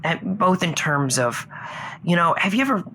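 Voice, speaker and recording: A woman playing a lovestruck, rambling caller on a comedy radio advice show, hesitant and earnest, with a husky voice. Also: on the low side, lively intonation, normal speed, bright, forceful tone, a little hiss or hum. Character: lovestruck, rambling radio call-in guest, newly divorced puppeteer. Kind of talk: improvised comedy call-in, conversational.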